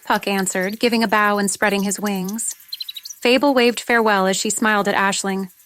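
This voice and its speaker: A woman's voice: medium pitch, fairly even intonation, moderate pace, a bit bright. A woman narrating a fantasy story from a script, as an audiobook reader. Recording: noticeable background noise with clicks, insect-like sounds.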